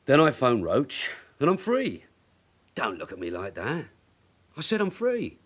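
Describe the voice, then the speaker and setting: Veteran detective sergeant, shrewd, sardonic, very varied intonation, radio crime drama. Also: male voice, medium pitch, huge pitch swings, a little slow, a bit bright, husky, full character voice. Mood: teasing, playful. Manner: dry, clipped, teasing sarcasm.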